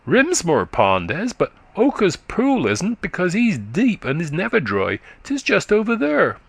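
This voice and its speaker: A man's voice: high-pitched, wildly swooping pitch, unhurried, creaky, a bit bright, husky, no echo, pitched above the performer's own voice, full character voice. Man doing a piping little country boy. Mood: eager. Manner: childlike, piping, dialect speech. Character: small chattering country boy.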